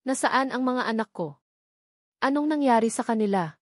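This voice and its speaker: A woman's voice: a little high, animated, normal speed, no room sound, clean studio sound, pitched above the performer's own voice. A woman reading the part of an anxious, loving young mother in an emotional, affectionate way, in a story. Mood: frantic, tearful.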